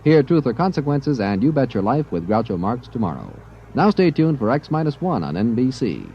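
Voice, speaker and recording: A man's voice: mid-range voice, big pitch swings, a little fast, slight background noise. A man with an American accent reading a scripted 1950s radio promo. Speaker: radio announcer. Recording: dull old radio recording.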